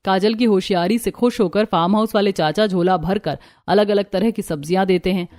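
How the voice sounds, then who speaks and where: A woman's voice: medium pitch, fairly quick, a little mellow. A woman narrator reading a scripted cartoon story.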